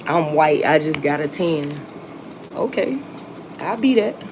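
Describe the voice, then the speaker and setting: A woman's voice: low voice, animated, slow pace, noisy background. A woman in her forties chatting to viewers on a phone livestream outdoors. She talks bluntly and repeats herself, swearing and laughing often. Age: 40s. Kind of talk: casual live-stream chat with viewers.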